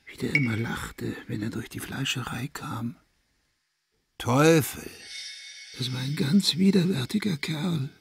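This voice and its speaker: A man plays an unhinged asylum inmate set loose in a radio drama, paranoid and vengeful. His gravelly voice veers between breathy whispers and loud curses, over clearly audible background noise. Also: medium pitch, unhurried. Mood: hateful, menacing. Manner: volatile, swinging from breathy whispers to loud cursing. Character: vengeful, paranoid madman just released from an asylum. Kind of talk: radio-play monologue.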